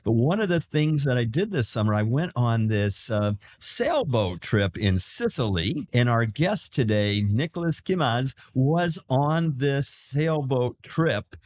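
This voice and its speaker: A man's voice: mid-range voice, very animated, a little slow, few pauses. Eager podcast host guy, kinda rambly, asks long loose questions. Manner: enthusiastic, rambling, friendly. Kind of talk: podcast interview.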